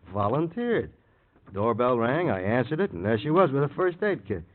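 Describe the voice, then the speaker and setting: A man's voice: mid-range voice, sing-song, moderate pace, lightly acted. Man acting an evasive suspect on tinny old radio. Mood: feigned casual. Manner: hesitant, defensive, short broken sentences. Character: evasive, aggrieved male murder suspect, feigning casualness. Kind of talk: 1950s radio crime drama acting. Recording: old radio, phone-like band.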